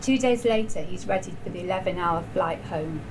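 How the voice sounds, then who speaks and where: A woman giving a measured literary reading at a live event in a bar. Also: fairly low pitch. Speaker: writer reading own story. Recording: live bar event, clearly noisy background.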